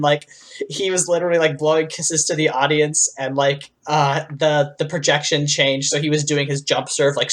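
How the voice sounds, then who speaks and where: A woman co-hosting a casual pop culture podcast, speaking in a slangy way with frequent "like" and swearing. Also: low-pitched. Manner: slangy, swears freely, says "like" constantly. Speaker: podcast co-host.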